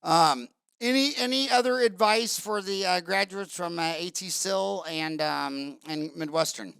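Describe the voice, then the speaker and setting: A man's voice: high-pitched, measured pace, vocal fry, fairly bright tone, clean tone, no echo, studio quality. A man hosting an interview, asking blunt questions in a jokey, informal way. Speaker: podcast host. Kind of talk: casual interview conversation.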